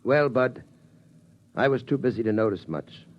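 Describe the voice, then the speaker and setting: A man playing a weary, steady father who tells his family how the world ended, in slow, solemn 1950s radio drama style. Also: medium pitch, a little hiss or hum, lightly acted. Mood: somber. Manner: slow, solemn storytelling cadence. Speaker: father telling the family story. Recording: dull 1950s radio broadcast sound.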